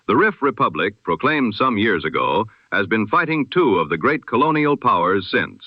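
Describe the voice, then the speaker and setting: A man's voice: fairly low pitch, big pitch swings, normal speed, no room sound. A man reading a scripted news bulletin as a formal, solemn announcer in the style of 1920s radio, through an old radio filter. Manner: formal, solemn, rhetorical delivery. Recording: dull lo-fi old radio sound.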